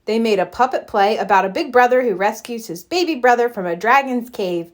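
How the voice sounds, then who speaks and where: A woman reading a children's story aloud in an expressive, scripted storytelling style. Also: fairly high pitch, a little fast. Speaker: storybook reader.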